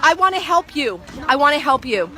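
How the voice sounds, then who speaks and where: A woman's voice: sits high, big pitch swings, fairly quick, pushed and bright, soft background music, a faint crowd. A woman vlogging outdoors at a market into her phone, speaking with passion and lecturing, often repeating herself. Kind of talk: outdoor phone vlog, heated argument. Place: outdoor market parking lot. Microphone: phone held by the speaker.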